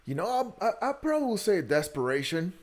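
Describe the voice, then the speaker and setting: A man's voice: sits high, sing-song, fairly quick. A man in his early thirties from Guatemala, a native Spanish speaker with a Guatemalan accent, telling his story candidly as an interview guest, often repeating words as he goes. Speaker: podcast guest, entrepreneur. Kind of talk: conversational interview, personal storytelling.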